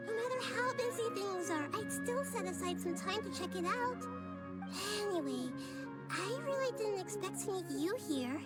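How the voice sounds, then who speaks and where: A wise, curious young girl goddess from a game, soft-spoken and thoughtful, with a very high, lilting voice. Loud game music runs behind her. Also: lively intonation, normal speed. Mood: gentle. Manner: soft, thoughtful, fond of analogies.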